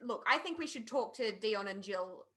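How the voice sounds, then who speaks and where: A woman in a casual podcast discussion, picking her phrases deliberately with pauses. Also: medium pitch, expressive, fairly bright tone. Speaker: podcast panelist.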